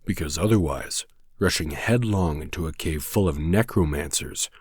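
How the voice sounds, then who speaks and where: A man playing a very deep, stern pilgrim cleric. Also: normal speed, darkish tone, husky, no echo. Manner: grave, scolding, formal.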